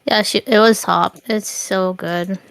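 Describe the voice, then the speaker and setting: A woman's voice: medium pitch, steady tone, measured pace, vocal fry, clean tone, no room sound. A woman co-hosting a game stream, giving short, casual reactions. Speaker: gaming stream co-host. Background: game music.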